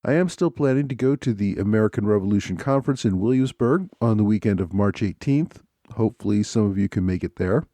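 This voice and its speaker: Low, mellow-voiced male podcast host. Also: a little fast, no room sound. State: slightly scratchy from a cold. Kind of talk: solo podcast talk.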